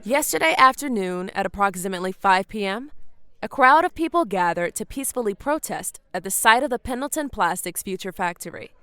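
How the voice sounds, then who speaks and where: A woman playing a composed small-town police chief giving a formal, measured statement in an audio drama, with an American accent. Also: medium pitch, animated, bright, forceful tone, smooth, no room sound, studio quality. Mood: formal, firm. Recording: outdoor crowd behind voice.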